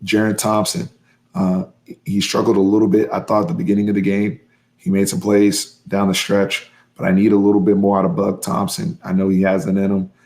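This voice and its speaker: Hoarse male sports fan host, gravelly and low-pitched, emphatic live recap. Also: fairly quick. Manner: excitable, emphatic, repeats phrases. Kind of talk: live-stream game recap, casual.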